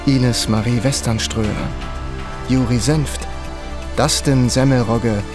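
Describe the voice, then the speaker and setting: Very slow, measured male credits announcer. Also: mid-range voice, steady tone, music in the background. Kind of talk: measured scripted radio drama credits.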